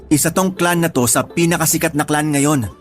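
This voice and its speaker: Actor as higher-voiced Filipino guy, bantering friend. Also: fairly quick, soft background music, natural voice. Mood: casual, informative. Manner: colloquial, bantering. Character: 27-year-old male friend, teasing, practical, slightly older. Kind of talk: Tagalog radio drama dialogue.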